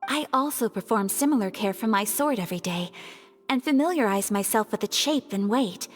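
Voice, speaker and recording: A woman voicing a poised, earnest, courteous young swordswoman in an anime-style video game, speaking politely, formally and gently. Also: mid-range voice, expressive, a little fast, long reverb tail, faint music behind, close to an everyday voice.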